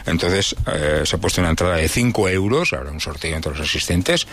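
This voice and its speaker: A man, giving a casual local news roundup on the radio, chatty and halting with fillers. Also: low-pitched, lively intonation, a bit bright, slight background noise.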